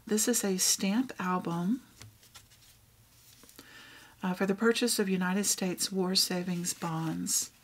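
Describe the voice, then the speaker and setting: A woman with a Texan accent, showing and reading out old thrift finds in a casual, gentle way. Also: on the low side, steady tone, slow pace, long pauses. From Texas. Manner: reads old items aloud, softly remarking on them. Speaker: vlogger. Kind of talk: casual thrift haul show-and-tell.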